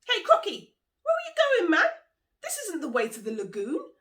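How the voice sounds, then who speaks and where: A woman voicing an anxious, excitable male monkey in a folk tale, exclaiming in panic and indignation. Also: very high-pitched, normal speed, voice pushed up, heavily acted. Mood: alarmed, indignant. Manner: exclaiming, shrieking when alarmed.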